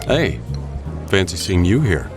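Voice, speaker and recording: A man acting as a young, wealthy secret hero in an audio drama. His low voice moves freely in pitch, casual and smooth, with music underneath. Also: big pitch swings, measured pace. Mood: charming. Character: young wealthy masked vigilante posing as an easygoing socialite. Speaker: voice actor.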